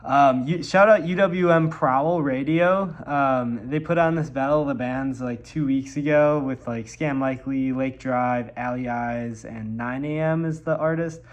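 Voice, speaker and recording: A man's voice: mid-range voice, measured pace, hardly any pauses. A young man from Milwaukee, congested from a cold, rambling casually in a vlog with lots of ums and likes. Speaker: musician vlogger.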